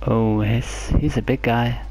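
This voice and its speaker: Slow, hesitant man commenting on a game. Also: medium pitch, level tone, a little mellow. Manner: hesitant, halting. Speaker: gameplay video host. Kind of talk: casual gameplay commentary.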